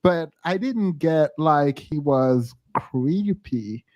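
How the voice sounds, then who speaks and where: A man's voice: a little high, animated, extremely slow, darkish tone, dry recording, lo-fi sound. A man hosting a casual chat, rambling with fillers and reading viewer comments. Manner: rambling with many fillers, laughs easily. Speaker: podcast host reading comments.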